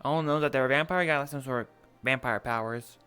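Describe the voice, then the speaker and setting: A man talks haltingly and apologetically in a medium-pitched voice, improvising casual chat for an online role-play. Music plays behind him. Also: sharp tone, clear, resonant tone. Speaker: role-play participant and streamer. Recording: music playing over the voice.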